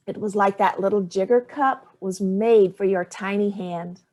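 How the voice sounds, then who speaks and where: A woman's voice: mid-range voice, normal speed, a little mellow, lightly acted. An actress playing Sallie, a dry, cutting older mother fond of cocktails, in a staged play reading. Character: Sallie, sharp-tongued hard-drinking older mother.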